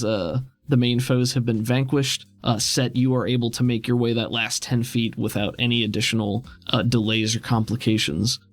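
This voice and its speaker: Man game master narrating over music. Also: medium pitch. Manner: descriptive, cinematic scene-setting. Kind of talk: narrating a tabletop role-playing game.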